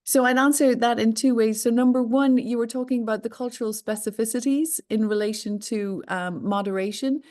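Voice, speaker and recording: A woman's voice: a little high, fairly quick, hardly any pauses, darkish tone. A woman with an Irish accent, answering interview questions earnestly and with emphasis, often repeating words for stress. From Ireland. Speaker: interview guest.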